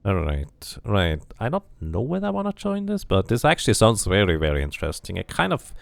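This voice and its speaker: Deep, gravelly streamer thinking aloud. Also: male voice, huge pitch swings, fairly quick, no room sound. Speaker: game streamer. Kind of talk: casual let's play commentary.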